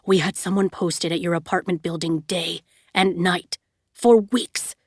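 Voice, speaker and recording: A woman voicing a man who speaks steadily and quietly, full of regret. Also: fairly low pitch, fairly even intonation, a little slow, dry recording, clean studio sound, pitched below the performer's own voice. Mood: steady. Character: older man, steady and remorseful.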